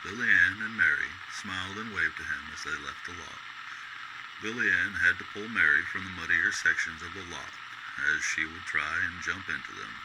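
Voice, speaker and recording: A man's voice: sits low, normal speed. A man reading scripted story narration in the style of an old-time radio drama. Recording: noisy background.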